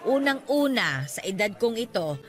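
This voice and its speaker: A woman playing an older, playful Filipino mother in a radio drama, chatty and jokingly scolding with warm welcome. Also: fairly high pitch, very animated, normal speed, soft background music. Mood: teasing, scolding.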